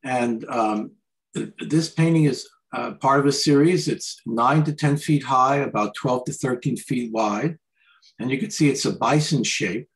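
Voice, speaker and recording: An older man, an artist, talking informally and haltingly through slides of paintings on a video call. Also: mid-range voice, poor audio. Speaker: artist presenting his paintings. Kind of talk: informal slide commentary on art.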